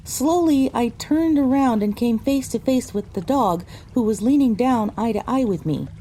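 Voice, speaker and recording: A mellow, fairly high female storyteller , acted by a woman, narrates as a young pony in an audio drama. She recounts a frightening misadventure in the first person, with faint trickling water behind her. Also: expressive, moderate pace, slight background noise, close to an everyday voice, low-quality recording. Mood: dramatic recounting.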